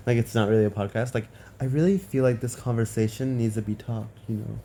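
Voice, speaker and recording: A man's voice: mid-range voice, brisk, dark tone, a little hiss or hum. A man hosting a game story read-along in casual, informal chat.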